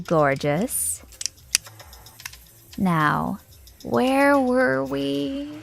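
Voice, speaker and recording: A woman's voice: a little high, a bit bright, heavily acted. A woman acting a sly, flippant shop owner and secret agent in a naturalistic audio drama. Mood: mocking. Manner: flippant, sardonic, breezy.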